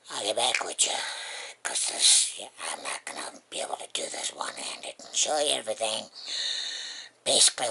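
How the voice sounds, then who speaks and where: A vlogger with a very husky, breathy, gravelly voice that often drops near a whisper, chatting casually and rambling along at home. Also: low-pitched, sharp tone. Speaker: vlogger, host of the channel. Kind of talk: casual unboxing vlog chat. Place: indoors at home.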